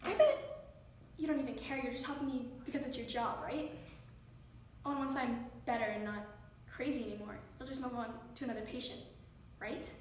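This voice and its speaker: A very echoey room carries a woman's portrayal of a scared, defiant young female psychiatric patient. The delivery is an intense, accusing stage monologue in a fairly high, sharp voice with faint noise behind it. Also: fairly even intonation, a little fast, long pauses, recorded from a distance, natural voice. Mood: bitter, accusing.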